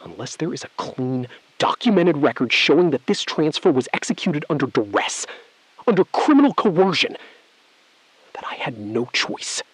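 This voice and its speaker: Actor as a calm, calculating male bank insider. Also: a little high, breathy edge, dry recording, rough recording. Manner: measured, evasive, precise. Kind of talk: scripted audio thriller acting.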